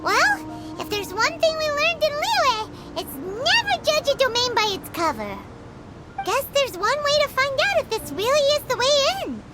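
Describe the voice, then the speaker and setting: A tiny fairy sidekick in a video game, with an extremely high, squeaky, childlike voice. She is dramatic and exclamatory, swinging through her pitch over clear music. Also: big pitch swings, normal speed. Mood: excited. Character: tiny, excitable floating fairy companion, female, childlike. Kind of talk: video game cutscene acting. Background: background music.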